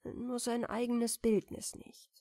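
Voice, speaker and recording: A woman softly and deliberately narrating a fairy tale as a bedtime audiobook. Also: on the high side, no echo, clean studio sound. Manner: soft, deliberate, expressive. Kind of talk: gentle bedtime story reading.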